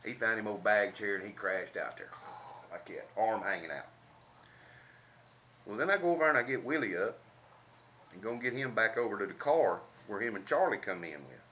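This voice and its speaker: A man's voice: lowish voice, lively intonation, moderate pace. An older man with a Southern US accent tells a casual comic story in a folksy, drawling country way with colorful slang. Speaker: comedic storyteller. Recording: dull lo-fi home recording, background noise.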